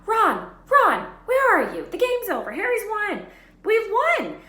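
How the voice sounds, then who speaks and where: A woman voicing an eager, bossy schoolgirl with a shrill, squealing voice. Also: huge pitch swings, unhurried, bright, forceful tone, full character voice. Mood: overjoyed. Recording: roomy echo, faint background hiss.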